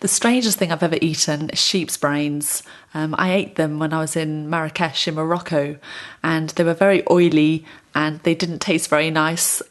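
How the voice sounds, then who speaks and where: A woman's voice: low voice, steady tone, moderate pace. A woman recounting personal experiences in short, simple sentences as an interviewee.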